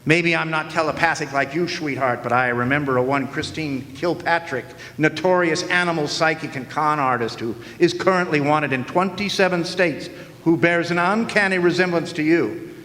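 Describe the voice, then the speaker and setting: An actor playing a wisecracking hard-boiled detective in a noir radio drama, deadpan and full of slang. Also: male voice, on the high side, moderate pace. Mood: wry, cocky. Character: wisecracking hard-boiled male private detective narrating his case. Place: large hall, live stage performance. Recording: echoey hall, live stage performance.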